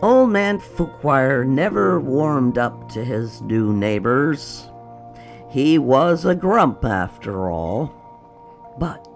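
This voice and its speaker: Children's read-aloud narrator: expressive and very lively in pitch, slow delivery, light music behind. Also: creaky. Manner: expressive, unhurried, addressing young children.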